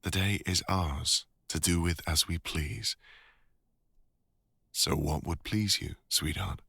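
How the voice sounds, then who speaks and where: A man with a deep British voice playing a devoted, teasing older boyfriend and father in an intimate ASMR audio roleplay. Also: slow pace, creaky, pushed and bright, slightly rough, dry recording, studio quality, full character voice. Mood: affectionate, gentle.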